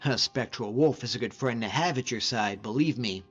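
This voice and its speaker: A man's voice: mid-range voice, normal speed, slightly rough, dull audio, soft background music, lightly acted. A man voicing a hardy, easygoing hunter and frost mage as a fantasy game companion, talking informally and sincerely in a reflective, plain-spoken way. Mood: confident, casual. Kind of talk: conversational scripted game character dialogue.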